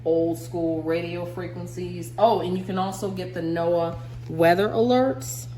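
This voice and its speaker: A woman's voice: lowish voice, fairly even intonation, unhurried, dark tone, slight background noise, low-quality recording. A woman giving a casual, upbeat product demonstration in a chatty way. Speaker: product reviewer. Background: music.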